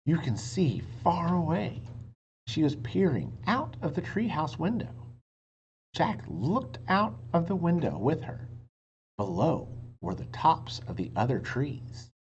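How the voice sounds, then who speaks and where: Low-voiced woman reading, highly animated. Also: measured pace. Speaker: read-aloud teacher for children. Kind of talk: expressive children's storybook narration. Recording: muffled sound with faint noise.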